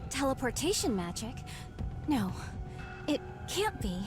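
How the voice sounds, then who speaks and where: A woman's voice: high voice, very animated, unhurried. An actress playing an earnest, cautious young woman hunter in a video game, with a polite, careful manner. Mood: worried, serious. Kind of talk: scripted dramatic game acting. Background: music.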